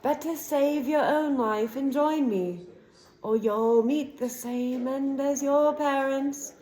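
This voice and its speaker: A woman reading aloud voices a cold, menacing male dark wizard, drawing out his words slowly. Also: sits high, voice pushed up, low-quality recording.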